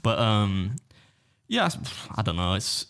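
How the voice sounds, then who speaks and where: A man's voice: fairly low pitch, big pitch swings, a little slow, a bit bright. A man with a Yorkshire accent chatting casually on a podcast, telling anecdotes in a rambling, self-deprecating way. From Britain. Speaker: competitive eater and video creator.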